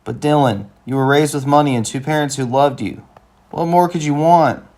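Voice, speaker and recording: A man voicing a wry, plain-spoken, skeptical young man in an animated comedy-drama, with a deadpan, reasoning delivery. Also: on the high side, normal speed, lightly acted. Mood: challenging.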